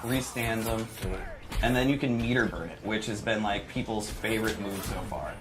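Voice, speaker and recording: A man's voice: lowish voice, moderate pace. An enthusiastic man presenting a video game demo in a casual commentary style. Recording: music playing behind the voice.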